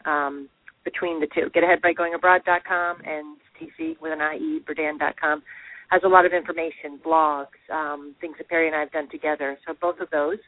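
A woman guest being interviewed, answering earnestly and quickly with frequent fillers. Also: sits low, dry recording. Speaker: author guest. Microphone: phone. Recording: tinny phone line.

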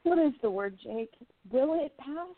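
A woman's voice: high-pitched, lively intonation, a little slow. Orchid, an earnest 1920s columnist, played by a woman. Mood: tender. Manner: earnest, impassioned about women's rights. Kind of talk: amateur radio play acting. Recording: tinny phone-like audio.

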